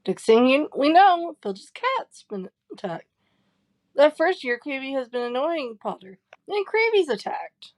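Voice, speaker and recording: Gossipy, insistent school kid played by a woman, high and very lively, dry audio-drama sound. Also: measured pace, no echo. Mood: insistent, suspicious. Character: gossipy, insistent young schoolchild convinced of rumours. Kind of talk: audio drama character acting.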